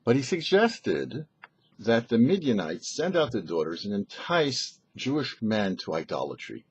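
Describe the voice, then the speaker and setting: A man with a rough-edged, husky voice delivers a short religious teaching. His pitch sits in a middle range but swings widely as he explains in a didactic way. The recording is somewhat muffled and lo-fi. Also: big pitch swings, unhurried. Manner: didactic, rhetorical questions. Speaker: rabbi, show host. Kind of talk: short scripted Torah teaching.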